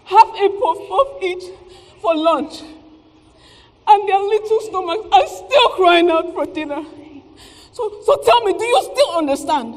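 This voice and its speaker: A woman's voice: very high-pitched, lively intonation, measured pace. A woman playing a bitter, struggling market seamstress and mother, emphatic and sarcastic in a stage play. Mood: angry, bitter. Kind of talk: theatrical stage play acting. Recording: echoey stage hall with background noise.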